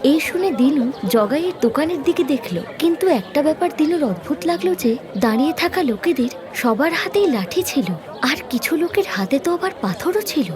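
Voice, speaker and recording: A woman narrating a scripted story in an expressive storytelling style. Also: sits high, fairly quick, a crowd in the background.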